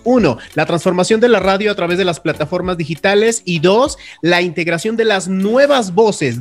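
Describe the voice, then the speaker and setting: A man with a Mexican accent presenting live radio as host, with a formal, emphatic announcer delivery. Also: sits high.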